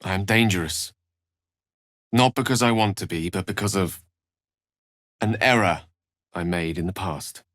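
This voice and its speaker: An actor playing a learned, courtly wizard in a fantasy video game, theatrical and articulate, with formal, earnest phrasing. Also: male voice, low voice, unhurried, pauses often, fairly bright tone, dry recording, clean studio sound. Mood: grave. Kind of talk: scripted fantasy game dialogue.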